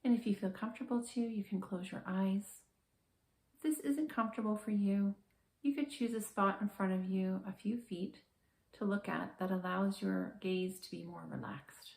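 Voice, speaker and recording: A woman guiding a body scan meditation in a calm, gentle, measured manner with slow, soothing pauses. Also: medium pitch, gravelly, roomy sound, low-quality recording. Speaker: meditation guide, therapist.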